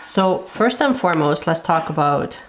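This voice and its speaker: A young woman hosting her planner channel, chatting casually to camera, her voice raspy from a cold and full of little fillers. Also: low voice, expressive, moderate pace, a little hiss or hum. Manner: chatty, lots of fillers like kind of and like.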